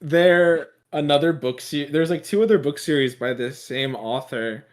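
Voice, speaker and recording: A man co-hosting a book club podcast, thinking aloud in a halting, casual ramble. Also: fairly high pitch.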